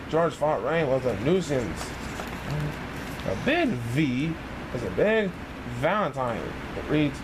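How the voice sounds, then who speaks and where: A man reads a children's storybook in a fairly high voice. His pitch leaps and dips constantly in an exaggerated sing-song delivery. Clear noise runs behind the recording. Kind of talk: animated children's storybook reading.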